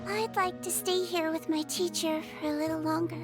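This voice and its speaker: Kindly little girl healer, very high voice, gentle, sincere, polite, game acting, music behind. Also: fairly even intonation, moderate pace. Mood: tender, wistful. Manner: soft-hearted, sincere, polite. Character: gentle, kindly young girl healer, small magical creature turned human. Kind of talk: English video game voice acting.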